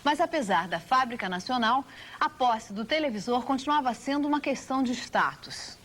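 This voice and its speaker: A woman's voice: high voice, expressive, moderate pace, a bit bright. A Brazilian woman reading scripted documentary narration.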